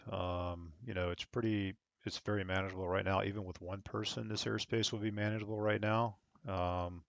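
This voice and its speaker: A man's voice: lowish voice, clean tone, no echo, lo-fi sound. A man working as an online air traffic controller, switching between radio phraseology and casual explanatory commentary. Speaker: air traffic controller on a flight simulation network, streaming.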